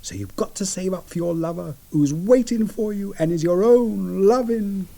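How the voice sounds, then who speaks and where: An actor playing a mild, affectionate Edwardian gentleman bridegroom in a period radio drama, diffident and whimsical as he woos. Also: high voice, normal speed, gravelly, a little hiss or hum. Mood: amorous.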